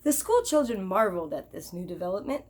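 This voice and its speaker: A woman's voice: mid-range voice, sing-song, brisk, darkish tone. A woman teacher reading a children's picture book aloud expressively, with chatty asides to her listeners.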